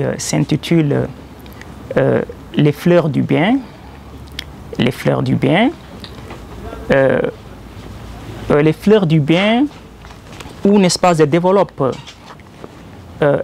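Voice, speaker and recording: Male poet, Senegalese accent, very unhurried and deliberate delivery, gravelly fry in the voice. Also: fairly high pitch, a little mellow, slight background noise. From Joal, Senegal. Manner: measured, deliberate, with pauses between short phrases. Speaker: poet presenting his own collection. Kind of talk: poetry recitation and explanatory talk about it.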